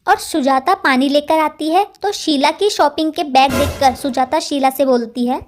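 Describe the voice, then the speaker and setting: A woman's voice: sits high, normal speed, music in the background. A woman reading scripted narration for a family moral story.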